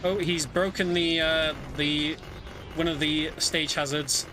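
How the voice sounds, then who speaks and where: A man's voice: a little high, fairly even intonation, slow, background noise. A man giving casual live speedrun commentary in a chatty, hesitant way. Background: video game music.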